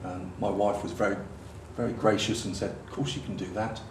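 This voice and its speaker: A man's voice: fairly low pitch, flat delivery, brisk, roomy sound, distant mic, noisy background. A man who speaks in a wry, self-mocking way in a casual interview.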